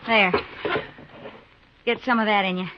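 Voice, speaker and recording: A woman's voice: medium pitch, fairly even intonation, a little slow, close to an everyday voice. Woman playing a plain-spoken young frontier woman. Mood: soft, friendly. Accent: rural American. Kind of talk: 1950s radio western acting. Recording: dull, faintly noisy 1950s radio recording.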